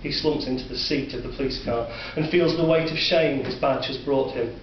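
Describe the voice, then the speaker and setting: A man with a Northern English accent narrating a dark story in a measured, sombre way. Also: medium pitch. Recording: distant, roomy, dull recording with faint hiss.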